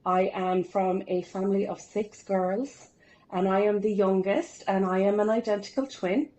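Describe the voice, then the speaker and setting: A woman in her forties chats with an upbeat, lively manner. She tells stories with self-deprecating humour as a podcast guest, at a medium pitch. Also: normal speed. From Ireland. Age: 40s. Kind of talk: casual interview storytelling.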